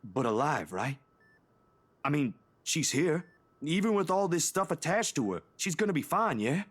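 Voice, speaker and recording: Husky male voice, worried young man, pleading, questioning, desperately hopeful, dramatic game acting. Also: a little high, very animated, normal speed. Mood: anxious, hopeful. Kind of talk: visual novel voice acting, dramatic.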